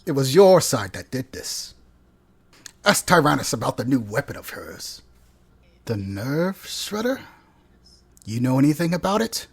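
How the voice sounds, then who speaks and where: A man playing a weary wizard hero and mentor, guilt-ridden but steadfast, with a husky, breathy voice in an audio drama. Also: medium pitch, very animated, unhurried, pauses often, exaggerated voice. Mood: defensive. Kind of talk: scripted audio roleplay drama.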